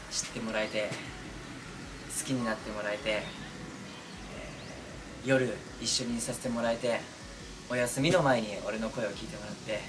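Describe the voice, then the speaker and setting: A man speaking as a streamer and voice actor, chatting casually and earnestly to his fans in an intimate, pausing way. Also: medium pitch. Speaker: voice actor and streamer addressing listeners. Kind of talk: casual, heartfelt chat to fans. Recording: distant mic, clearly noisy background.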